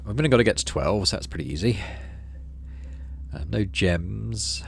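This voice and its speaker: A man's voice: fairly low pitch, animated, moderate pace, creaky, slight background noise. A man hosting a gaming playthrough, reading story text aloud and adding casual commentary. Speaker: gaming channel host.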